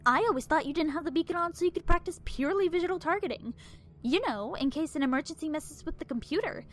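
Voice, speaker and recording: A woman's voice: high voice, fast, close to an everyday voice. A woman playing an earnest, probing young military lieutenant in an anime parody, respectful yet frank. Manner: deferential but frank, careful.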